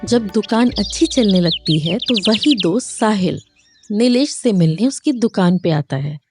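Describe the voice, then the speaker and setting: A woman's voice: mid-range voice, lively intonation, measured pace, music in the background. A woman narrating a scripted moral story for children.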